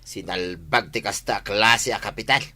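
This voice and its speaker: A man's voice: on the low side, measured pace, pushed and bright, exaggerated voice. A man with an Ilocano accent acting an exasperated, grumbling village godfather in a radio comedy drama.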